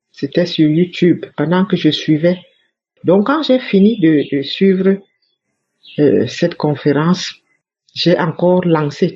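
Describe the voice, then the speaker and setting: A woman tells her religious testimony with fervent conviction, circling back and repeating phrases to press them home. Her voice is husky, and the recording sounds dull and lo-fi. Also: low voice, a little mellow. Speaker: church member giving testimony.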